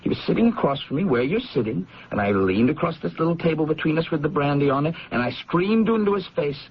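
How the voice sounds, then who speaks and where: Through a muffled old radio recording comes a guilt-stricken brother in a radio drama. His voice is raspy and a little high. He is agitated, stammering over repeated phrases and insisting on his own blame. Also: expressive, fast talker. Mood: agitated, self-doubting. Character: anguished, guilt-ridden brother convinced his temper curses people.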